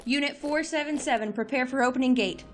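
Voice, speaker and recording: Lady playing tough young army woman, steely n clipped, highish voice, audio drama w soft music. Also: fast, close to an everyday voice. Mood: businesslike. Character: steely, vengeful young female soldier.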